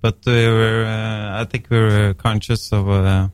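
Slow, flat-voiced man, terse answers. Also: fairly low pitch, clean tone, no room sound. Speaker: band member guest. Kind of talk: casual radio interview.

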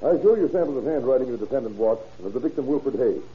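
An actor playing a formal, methodical prosecutor questioning witnesses in an old-time radio courtroom drama. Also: male voice, mid-range voice, lively intonation, rapid-fire, slightly rough. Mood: formal, probing. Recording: dull vintage radio recording.

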